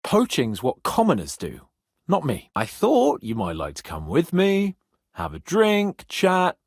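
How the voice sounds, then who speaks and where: An actor playing a brash, petulant young medieval nobleman in a video game, with a husky voice and a pompous, sardonic manner. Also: a little high, huge pitch swings, measured pace, dry recording, studio quality, full character voice. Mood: haughty, self-justifying. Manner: pompous, sardonic, swearing freely.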